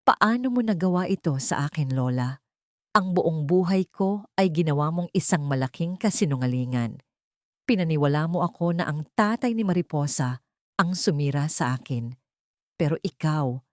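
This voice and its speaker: A woman's voice: fairly low pitch, lively intonation, normal speed, a little mellow, dry recording, natural voice. A woman narrator plays an anguished young man in an audiobook drama, betrayed and full of remorse. The delivery is dramatic, pleading and accusing, recorded close and dry. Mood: hurt, accusing. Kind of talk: Tagalog audiobook drama dialogue.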